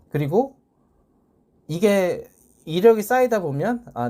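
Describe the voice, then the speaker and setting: A man's voice: medium pitch, warm tone. A man presenting a casual tutorial, explaining things step by step in polite speech. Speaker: tutorial presenter, salesman who codes.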